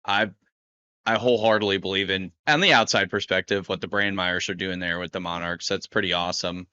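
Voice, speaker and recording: Clear, bright-voiced man hosting sports chat. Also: low-pitched, steady tone, fairly quick, dry recording, highs cut off. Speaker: podcast host interviewing a guest. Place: podcast recorded live at a saloon.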